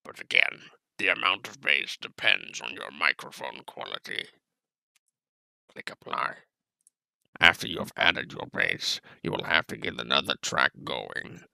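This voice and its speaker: A menacing space-western gunslinger villain. The voice is low and heavily gravelled, with a slow, deliberate drawl, as if from a film, calmly giving step-by-step instructions. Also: male voice, dry recording, heavily acted. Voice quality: gravelly, creaky. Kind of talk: tutorial narration in character.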